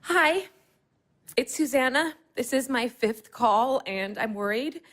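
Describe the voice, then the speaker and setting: Frantic, swearing young wife. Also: female voice, high voice, expressive, takes it slowly, fairly bright tone, exaggerated voice. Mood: angry, bitter. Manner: blunt, swears often. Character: anxious, sharp-tongued young wife. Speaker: newly married wife. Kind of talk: audio drama acting.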